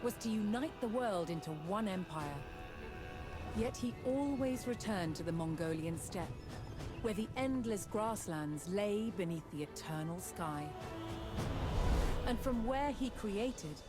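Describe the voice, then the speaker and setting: A woman delivers scripted documentary narration in a measured, dramatic storytelling manner. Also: mid-range voice, lively intonation. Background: background music.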